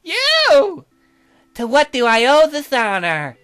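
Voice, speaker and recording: A man's voice: very high-pitched, huge pitch swings, slow, pushed and bright, heavily acted. A man voicing a condescending, sardonic castle magician in a visual novel, haughty and scornful as he explains things. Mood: disdainful.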